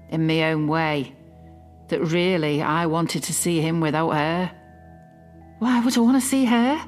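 A woman playing a possessive middle-aged mother in a confiding dramatic monologue, smug, mock-innocent and quietly malicious. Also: on the low side. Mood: indignant, possessive. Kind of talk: dramatic monologue, confiding fiction podcast narration.

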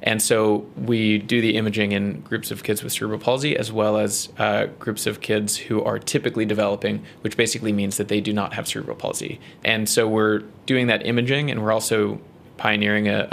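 A man with a New Zealand accent, a research scientist explaining his work in an interview, measured and full of ums and uhs. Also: fairly low pitch, fairly even intonation, creaky, a bit bright, slight background noise.

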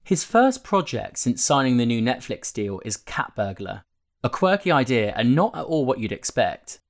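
A man's voice: medium pitch, sing-song, moderate pace. A man reading a written magazine interview aloud as scripted narration. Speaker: narrator reading a newspaper feature. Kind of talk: scripted narration of a print interview, with quotes read out.

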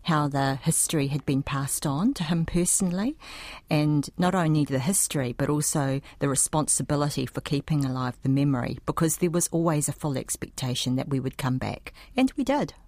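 Low-pitched New woman, writer telling her story, lively with fillers. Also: brisk, no room sound. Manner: animated retelling with many fillers. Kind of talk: interview storytelling.

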